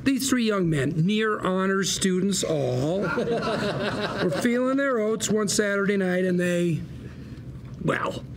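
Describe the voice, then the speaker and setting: A man's voice: high-pitched, expressive, takes it slowly, background noise. An actor playing a slick, folksy, showy defense lawyer in a table read, persuading like a theatrical salesman. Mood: folksy, cajoling. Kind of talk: acted table read of a TV script.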